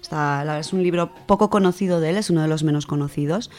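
Low-voiced Spanish woman chatting over music. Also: fast, a little mellow. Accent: Spain. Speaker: radio show book segment presenter. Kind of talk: informal spoken book review.

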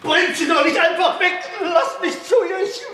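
Shrill, distraught widower. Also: male voice, steady tone, moderate pace, sharp tone. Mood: hysterical grief. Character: distraught grieving husband, frantic. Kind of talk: German radio crime drama acting. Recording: roomy, echoing sound.

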